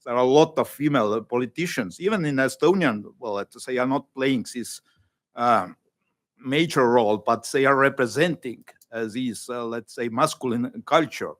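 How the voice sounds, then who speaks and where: A male academic panelist answering a question, pausing often and searching for words with many fillers. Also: mid-range voice. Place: conference panel room.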